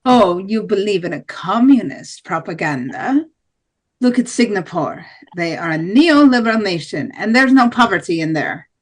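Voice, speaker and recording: A woman's voice: medium pitch, lively intonation, moderate pace, lightly acted. A woman playing a hardline, defiant nationalist presidential candidate in an amateur table read of a debate.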